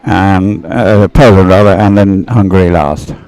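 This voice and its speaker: A man with a fairly low voice commentates live on a race. His delivery is low-key and halting, coming in short broken phrases with gaps between them. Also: darkish tone, no echo, poor audio.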